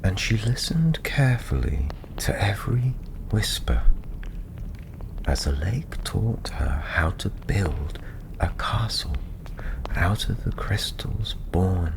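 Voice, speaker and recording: Deep, slow, whispery male ASMR storyteller. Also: very animated, low-quality recording. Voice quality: soft, breathy, often near a whisper. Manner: gentle, soothing, unhurried with long pauses. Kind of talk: soft-spoken ASMR fantasy storytelling.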